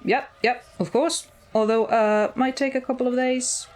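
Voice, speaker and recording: A woman's voice: fairly high pitch, steady tone, normal speed, pitched above the performer's own voice, natural voice. A woman voicing an irritable, sarcastic male demon in an audio story, with a grumbling, sweary drawl over guitar music. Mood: nervous, obliging.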